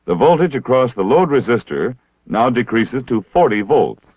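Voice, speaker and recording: American man, low voice, formal training-film narration, measured and precise, dry close sound, muffled 1960s film soundtrack. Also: very animated, husky, no room sound. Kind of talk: scripted instructional narration.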